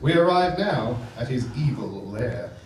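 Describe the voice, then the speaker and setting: A man's voice: medium pitch, big pitch swings, a little slow, slight background noise. A man playing an announcer in a comic stage radio serial, narrating in parody melodramatic style. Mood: chatty, storytelling. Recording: echoey theatre, distant mic, loud music.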